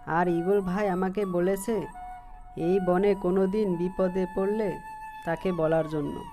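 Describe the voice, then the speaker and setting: A woman's voice: fairly low pitch, steady tone, measured pace, warm tone, natural voice. A woman voicing a kind, earnest little male songbird in a cartoon story. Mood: explaining, caring. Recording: music behind the voice.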